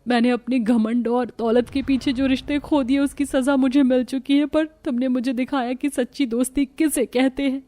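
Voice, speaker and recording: A woman's voice: high-pitched, steady tone, normal speed, few pauses, mellow, close to an everyday voice. A woman voicing a haughty, boastful rich young wife in a moral cartoon story. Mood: remorseful. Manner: scornful, boastful. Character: haughty rich young wife who taunts her poor friend.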